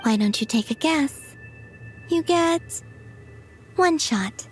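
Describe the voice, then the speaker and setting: Soft, gentle moon goddess. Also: female voice, high-pitched, expressive, slow pace, faint music behind. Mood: warm, playful. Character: gentle, serene young moon goddess, tender and hopeful. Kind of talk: video game cutscene acting.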